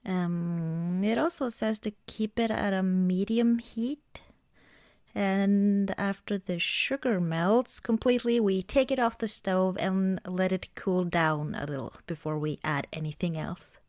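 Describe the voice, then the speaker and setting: A woman doing an ASMR roleplay as an affectionate girlfriend, chatting casually and hesitantly in a soft, intimate way. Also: mid-range voice, unhurried, dry recording, studio quality. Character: affectionate, cozy girlfriend baking at Christmas.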